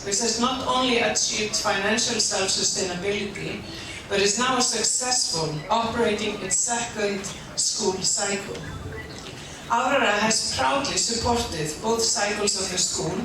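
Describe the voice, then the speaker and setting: A woman from Iceland, a foundation director, giving a gracious, measured formal speech at a conference. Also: medium pitch. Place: conference hall. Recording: echoey hall from far off, noisy.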